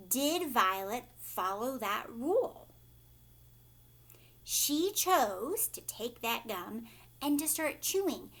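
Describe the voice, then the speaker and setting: A woman's voice: sits high, a bit bright. A woman reading a children's story aloud like a teacher, with theatrical, emphatic phrasing aimed at young listeners.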